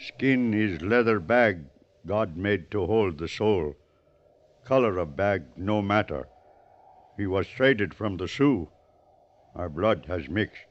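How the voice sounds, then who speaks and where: An actor playing a weary, proud old chief in a vintage radio western, speaking solemn, halting broken English. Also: male voice, on the low side, lively intonation. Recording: dull vintage radio recording.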